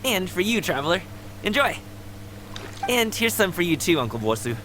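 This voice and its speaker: A woman voicing a friendly, energetic and chatty young female guard in a video game, with a casual, considerate way of talking. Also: fairly low pitch, moderate pace, a little hiss or hum. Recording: game music underneath.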